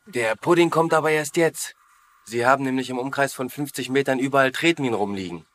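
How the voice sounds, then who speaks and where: Comedy dub: actor as naive, easygoing soldier, medium pitch, dry delivery. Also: male voice, fairly quick, fairly bright tone, natural voice. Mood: matter-of-fact. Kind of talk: dubbed sitcom acting.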